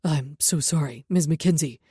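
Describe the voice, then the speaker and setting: Flustered apologetic guard, voiced by a female reader, lowered pitch, loud nervous delivery. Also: normal speed, slightly rough, dry recording, studio quality.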